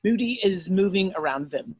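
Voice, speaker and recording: A man's voice: high-pitched, lively intonation, moderate pace, breathy edge, no echo, muffled recording. A man narrating scripted stage directions at an amateur drama table read.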